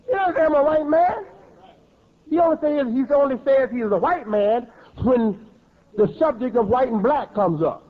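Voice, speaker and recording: Preacher man, real high voice, keeps repeating himself for emphasis, tinny old tape. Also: animated, moderate pace. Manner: emphatic, repeats and rephrases words. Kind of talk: sermon.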